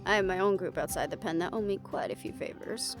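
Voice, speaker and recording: A woman's voice: mid-range voice, quick pace, natural voice. An actress playing a wary, protective and responsible woman hunter in a fantasy audio roleplay, blunt and skeptical in manner. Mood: blunt, practical. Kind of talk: scripted audio roleplay drama. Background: background music.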